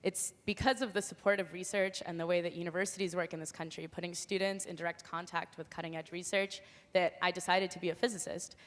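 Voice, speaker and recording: A woman's voice: on the low side, steady tone, quick pace. A young woman from Argentina, a physics PhD student, giving an earnest, explanatory talk at an awards event, with occasional "um" and "uh". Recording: long hall reverb.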